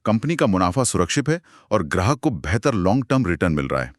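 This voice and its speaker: Animated, lowish-voiced Indian man asking questions. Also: fast talker, no echo, clean studio sound. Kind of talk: scripted conversational podcast discussion.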